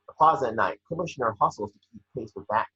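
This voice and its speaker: A man's voice: medium pitch, very fast, pushed and bright, breathy edge. A man reading scripted scene descriptions as narrator, stumbling now and then. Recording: echoey, muffled home microphone.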